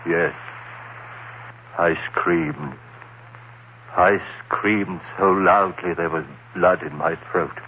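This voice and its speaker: Terrified condemned man, 1940s radio horror, anguished broken phrases, slow pacing, dull vintage broadcast sound. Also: low voice, fairly even intonation, pushed and bright, heavily acted. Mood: frantic insistence. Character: haunted, terrified condemned man recounting his nightmare. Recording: noisy, band-limited old radio recording.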